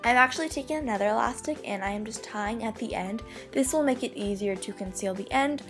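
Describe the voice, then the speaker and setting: A young woman talking through a hair tutorial in a casual, chatty way, her voice a little hoarse from losing it. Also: mid-range voice. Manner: chatty, upbeat, many "like" fillers. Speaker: beauty vlogger. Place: bedroom.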